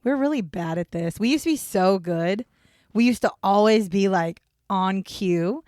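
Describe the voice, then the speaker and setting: A woman in her forties talks into a close mic in a dry, dead room, her pitch sitting in an ordinary middle range. She chats loosely with her co-host, laughs a lot, drifts off on asides and drops casual swears. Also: a little slow, darkish tone, dry recording. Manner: chatty, laughs often, rambling asides, swears. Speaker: podcast co-host. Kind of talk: casual banter podcast.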